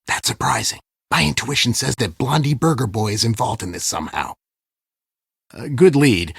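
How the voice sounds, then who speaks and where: A man's voice: mid-range voice, gravelly, a bit bright, slightly rough, dry recording, studio quality, heavily acted. A man voicing an edgy, businesslike detective in a video game, giving curt instructions. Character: edgy, businesslike male detective handling an undercover helper.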